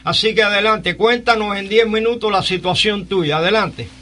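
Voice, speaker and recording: An older man with a Cuban accent hosting a live radio talk show, speaking haltingly and repeating phrases. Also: high voice, pushed and bright, a little hiss or hum. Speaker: radio host. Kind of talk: live call-in talk, recounting and interviewing.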